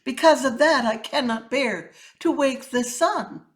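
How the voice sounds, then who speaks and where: A woman's voice: sits high, a little slow, pitched above the performer's own voice. A woman voicing a sorrowful mother owl in a storybook, with a plaintive delivery. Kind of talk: animated storybook character reading.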